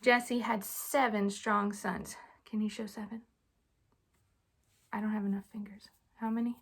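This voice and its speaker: A young adult woman reading a storybook aloud to children in an informal, animated way. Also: mid-range voice, unhurried, slightly rough. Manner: casual, child-directed, animated on dialogue.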